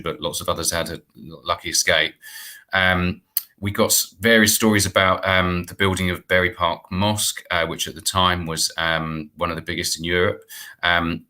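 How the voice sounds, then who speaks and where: British guy, middle aged, very low but bright voice, explaining his project on a video call. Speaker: guest, playwright and producer. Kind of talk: informal explanatory interview talk. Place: indoor room on video call. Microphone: wired earphones.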